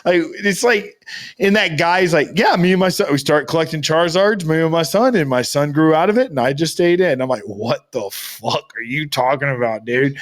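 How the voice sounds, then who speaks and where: A man's voice: fairly high pitch, lively intonation, moderate pace. A man co-hosting a casual comedic podcast, talking in a slangy, emphatic, profane way. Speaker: podcast co-host.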